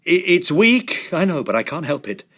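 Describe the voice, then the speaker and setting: A man's voice: on the high side, sing-song, moderate pace, a bit bright, slightly rough, full character voice. An actor playing an intense, shaken young Victorian man in a radio drama, speaking with urgent, dramatic emphasis. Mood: frightened, shaken.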